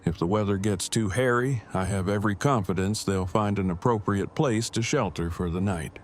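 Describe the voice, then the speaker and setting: A man playing a formal, wordy, deadpan young father and husband in an audio drama, with precise phrasing. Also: lowish voice, normal speed, slight background noise, natural voice. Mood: calm, reassuring. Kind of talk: fan audio drama acting.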